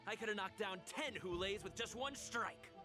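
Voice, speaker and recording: A man's voice: high voice, moderate pace, natural voice. A man voicing a boastful, eager young fighter in a video game, cocky and playful. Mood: bragging. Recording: game music behind.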